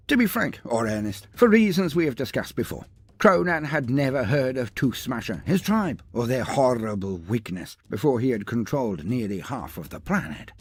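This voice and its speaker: A man's voice: medium pitch, vocal fry, breathy edge, dry recording. A man narrating a humorous scripted story in a theatrical, wordy, mock-grandiose manner. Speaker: narrator of a lore story video.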